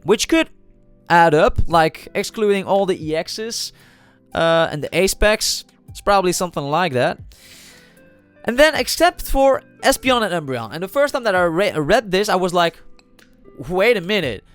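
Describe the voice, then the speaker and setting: A man's voice: high-pitched, lively intonation, moderate pace, fairly bright tone, smooth, faint music behind. A man with a cold and a slightly nasal voice, talking casually over a card video and thinking aloud with many fillers and restarts. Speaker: trading card channel host.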